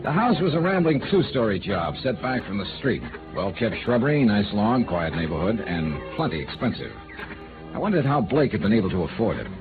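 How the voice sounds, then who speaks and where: An actor playing a wry, unflappable insurance investigator with a dry, clipped delivery in a 1950s radio noir drama. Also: male voice, on the low side, very animated, a little fast, music in the background. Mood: wry, brisk. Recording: narrow, tinny old radio recording.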